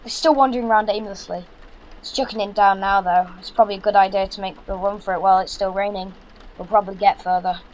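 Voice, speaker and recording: A man's voice: high-pitched, fairly even intonation, quick pace, a little hiss or hum, close to an everyday voice, poor audio. A young man playing a practical, bossy British son in an audio drama, speaking in an earnest, instructive way. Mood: coaching, urgent. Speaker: actor.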